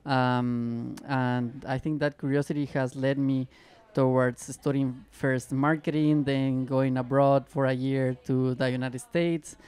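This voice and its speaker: A man, speaking as an interview guest. His voice is clear and resonant with a mid-range pitch. He sounds tired but talks openly and eagerly. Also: level tone, measured pace, hardly any pauses, a little mellow. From Ecuador. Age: 30s. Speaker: guest, trail runner. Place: pop-up store at a race event.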